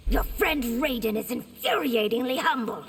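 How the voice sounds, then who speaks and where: A woman's voice: fairly high pitch, moderate pace, in a large hall, close to an everyday voice. An actress playing a haughty, dismissive royal warrior woman in video game dialogue. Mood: haughty, cool. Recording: faint background ambience noise.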